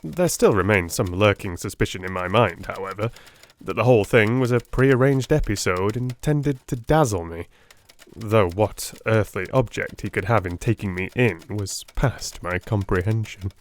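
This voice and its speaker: A man narrating an audiobook as dramatic literary reading, in a theatrical, deliberate manner. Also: fairly low pitch.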